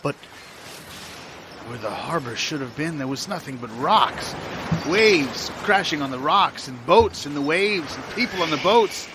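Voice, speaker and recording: A man's voice: a little high, expressive. Man acting a theatrical dreamer, noisy background ambience. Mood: dramatic. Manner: dramatic, halting, repeats himself. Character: anxious dreamer recounting a surreal dream, swinging from hushed to panicked. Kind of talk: improvised audio theatre monologue.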